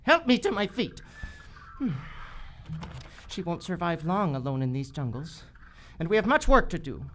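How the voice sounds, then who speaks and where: A woman's voice: lowish voice, big pitch swings, moderate pace, a bit bright. An actress playing a commanding, scheming female villain in a live old-time radio adventure. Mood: cold, commanding.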